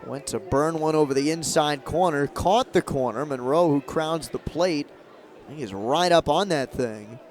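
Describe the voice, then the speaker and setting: A man with a slightly high voice works as a radio baseball announcer. He calls the game live in measured, descriptive phrases, often short and clipped. Outdoor stadium noise can be heard behind him. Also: a little mellow. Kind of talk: live baseball play-by-play commentary.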